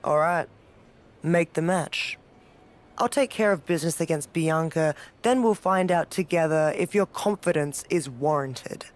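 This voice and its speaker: A woman's voice: low-pitched, a little slow. A woman playing a cocky, rebellious champion wrestler in a video game cutscene, speaking with dry, teasing self-assurance. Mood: dry, confident.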